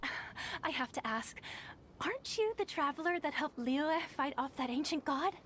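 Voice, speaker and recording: A woman's voice: high voice, expressive, normal speed, fairly bright tone. An actress playing a polite young female tournament registrar in a video game, courteous and slightly flustered.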